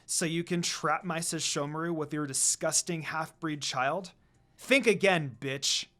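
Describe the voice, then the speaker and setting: A man's voice: on the high side, fairly even intonation, a little slow, sharp tone. A man voicing a cruel, jealous female demon with a sneering, growling manner. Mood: spiteful.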